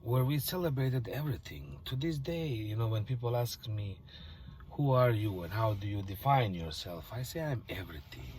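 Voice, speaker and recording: A man with a low, deep voice talks to camera in a loose, reflective monologue. He muses softly and keeps slipping into near-whispers. A faint hiss of noise sits under the recording. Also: expressive, normal speed. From Bosnia. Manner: musing, soft-spoken, drifts into near-whispers. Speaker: vlogger. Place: parked car.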